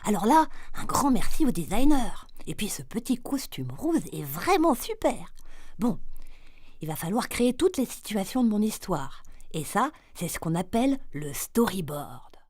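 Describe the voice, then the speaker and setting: Cartoon lead, cheerful and playful, voiced by a woman; slightly breathy, very animated delivery. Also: medium pitch, normal speed, dry recording, clean studio sound. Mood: delighted. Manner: chatty, addresses the viewer directly. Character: cheerful cartoon character Alex, young and playful. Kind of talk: scripted voice-over.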